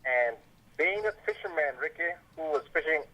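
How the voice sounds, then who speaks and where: A man's voice: fairly high pitch, animated, normal speed, pushed and bright. A man with a Belizean accent calling a radio show over the phone, stern and emphatic as he complains. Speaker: radio caller, tour guide. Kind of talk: casual phone-in opinion. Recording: dull lo-fi phone line.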